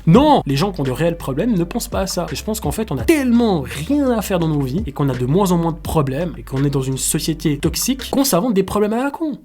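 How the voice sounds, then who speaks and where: Fast-talking, exasperated young French-speaking man. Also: fairly high pitch, very animated, a little mellow. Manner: emphatic, colloquial, exasperated. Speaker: YouTuber and streamer. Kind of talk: casual opinionated commentary.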